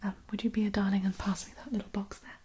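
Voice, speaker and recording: A young woman playing a genteel, apologetic vampire nurse in an ASMR roleplay, with a soft, breathy voice. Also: mid-range voice, flat delivery, fast. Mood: polite, hesitant. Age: young adult.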